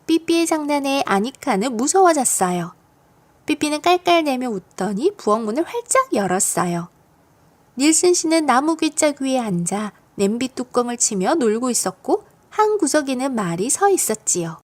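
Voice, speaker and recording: A woman reading a children's storybook aloud as a narrator, expressive and geared to young listeners. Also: high-pitched, moderate pace. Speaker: audiobook narrator of children's stories.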